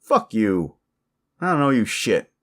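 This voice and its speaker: Man acting a low-voiced, sleazy bullying boss. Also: very animated, unhurried. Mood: boastful, indignant. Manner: condescending, foul-mouthed, cajoling and berating. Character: sleazy, bullying male office boss, secretly an alpha werewolf. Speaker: voice actor performing a roleplay. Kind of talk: dramatic audio roleplay monologue to the listener.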